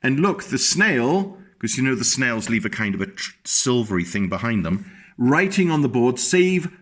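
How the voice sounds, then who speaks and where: A man reading a picture book aloud to young children, animated and stopping to explain the pictures to his small listeners. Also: medium pitch, normal speed.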